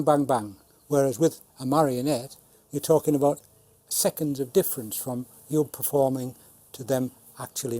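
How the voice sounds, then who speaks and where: A man in his seventies, a puppeteer, explaining and showing his craft in a measured way with dry humour. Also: mid-range voice, warm tone, no room sound. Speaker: puppeteer, Punch and Judy showman. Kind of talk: informal talk demonstrating puppets.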